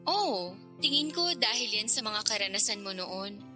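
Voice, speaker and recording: A woman's voice: mid-range voice, animated, moderate pace, sharp tone, faint music behind. An actress playing a curious, teasing, frank older girlfriend figure in a radio drama, talking in a playful, probing, casual way.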